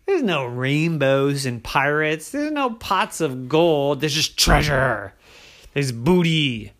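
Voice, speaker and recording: A gruff, boastful pirate captain from a bedtime story, as a man puts him on. He drags out his words slowly and heavily, with plenty of rise and fall in his pitch. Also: big pitch swings, a bit bright, exaggerated voice. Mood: scoffing. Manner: gruff, drawn-out pirate talk.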